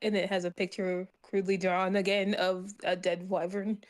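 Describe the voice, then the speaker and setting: A woman's voice: mid-range voice, level tone, moderate pace. A woman playing a tabletop game, casually and playfully narrating her character's actions.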